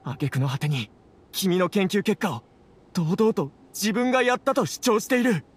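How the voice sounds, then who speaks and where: A man's voice: high voice, big pitch swings, moderate pace. A man playing an earnest, troubled young scholar with polite speech in a Japanese game. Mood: indignant. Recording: clear background noise, insect ambience.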